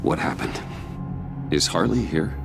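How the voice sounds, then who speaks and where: An actor playing a guarded, stern hero in a video game, speaking in firm, measured, terse phrases. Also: male voice, sits low, expressive, gravelly, music in the background, exaggerated voice. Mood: concerned, calming. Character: guarded, stern, probing vigilante hero, adult man. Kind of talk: dramatic video game acting.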